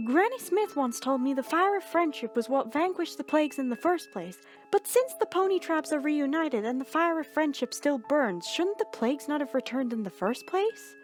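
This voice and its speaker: Woman doing a high-pitched folksy country pony. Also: animated, moderate pace, few pauses, faint music behind. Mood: earnest, questioning. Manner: folksy southern phrasing. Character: earnest country mare pony, questioning and folksy.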